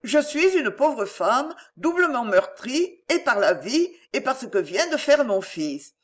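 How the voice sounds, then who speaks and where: Lady reader doing a whiny scheming mom from a novel, self-pitying, melodramatic, pitched up, very animated. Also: unhurried. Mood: tearful, wheedling. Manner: plaintive, melodramatic, wheedling. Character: self-pitying, scheming middle-aged mother playing the victim. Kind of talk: acted audiobook dialogue.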